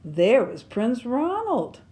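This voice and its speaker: A woman reading a children's picture book aloud in an expressive storytelling style. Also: on the high side, slow pace. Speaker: storybook reader.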